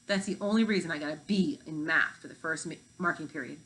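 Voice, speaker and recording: A woman's voice: deep voice, fast, fairly bright tone, a little hiss or hum, low-quality recording. A slacker fifth-grade girl acted by a woman speaks in blunt kid slang. She is an unbothered storybook schoolgirl with no love of school.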